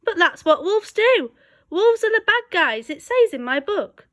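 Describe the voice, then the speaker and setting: Woman acting out a sly, gruff storybook wolf, swooping pitch. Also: sing-song, a little slow, fairly bright tone, heavily acted. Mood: puzzled.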